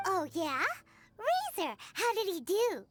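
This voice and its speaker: A tiny cartoon fairy from a video game, with an extremely high, squeaky pitch. She is cheeky and childlike, swinging up and down over clear background music. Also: lively intonation, measured pace. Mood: perky. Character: tiny fairy companion, childlike, cheeky, third-person talker.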